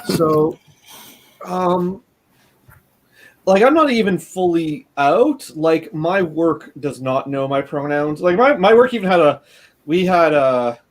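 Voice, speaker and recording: A man in his late thirties, speaking as a panelist in casual conversation, candid and halting with many fillers and restarts. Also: fairly high pitch, expressive. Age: late 30s.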